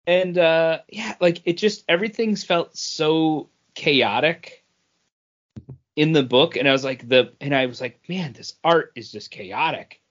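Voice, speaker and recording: A man's voice: a little high, a little slow, muffled recording. A man co-hosting a comic book podcast in casual, opinionated chat, blunt and given to swearing and acting out quotes.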